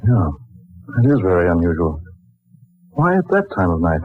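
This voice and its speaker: A man's voice: low voice, very animated, normal speed. An actor playing a breezy, kindly young man down on his luck, folksy and teasing, in 1940s radio style. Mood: helpful. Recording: muffled old 1940s radio recording.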